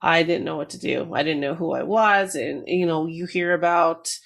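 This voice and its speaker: A woman's voice: low-pitched, moderate pace, vocal fry. A middle-aged woman, a retired army veteran from New Jersey, speaking candidly and reflectively as an interview guest, with some hesitations. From New Jersey, USA. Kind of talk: casual interview.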